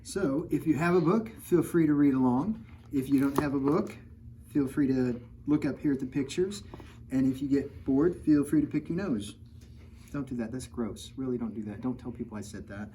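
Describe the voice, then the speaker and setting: Mellow-voiced man reading to kids, faint noise. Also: mid-range voice, moderate pace. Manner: joking, informal, talks directly to young children. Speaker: children's author reading at a library storytime. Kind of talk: read-aloud picture book with playful chatty asides.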